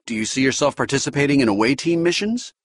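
A man voicing a stiff, formal senior male science officer who speaks in measured, precise phrases. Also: medium pitch, sing-song, no room sound, clean studio sound, voice pushed up.

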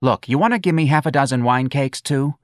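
A man's voice: mid-range voice, brisk, no echo, studio quality, pitched above the performer's own voice, natural voice. A man voicing a weary, complaining working-class mother in an audiobook novel. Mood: weary, plaintive.

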